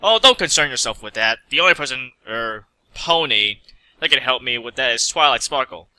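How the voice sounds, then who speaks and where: A man's voice: mid-range voice, expressive, moderate pace, bright, forceful tone, clear, resonant tone, no echo. A man playing a polite, slightly awkward stranded mechanic in a story reading, with a hesitant manner. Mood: polite, unsure.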